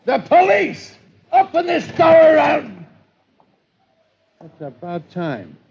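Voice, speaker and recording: An actor playing a gruff, impatient, skeptical police inspector in the style of 1940s radio drama. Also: male voice, extremely high pitch, wildly swooping pitch, slow pace, darkish tone, full character voice. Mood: impatient, forceful. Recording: dull, noisy old radio recording.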